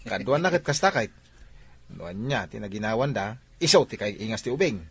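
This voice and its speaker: An actor playing an inquisitive, teasing friend in a radio drama, raspy-voiced with a Filipino Ilocano accent. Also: male voice, on the low side, sing-song, brisk, no echo, exaggerated voice. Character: inquisitive, teasing male buddy.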